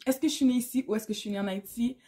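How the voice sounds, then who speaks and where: A woman's voice: a little high, rapid-fire, warm tone. A woman in her thirties from Canada with a Québécois French accent, talking casually to camera in a vlog and mixing English words into her speech. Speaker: YouTuber.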